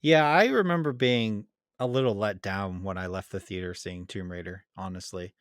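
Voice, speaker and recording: A man's voice: low-pitched, moderate pace, no echo, clean studio sound. A man co-hosting a podcast in casual debate, easygoing as he prompts his partner. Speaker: podcast co-host.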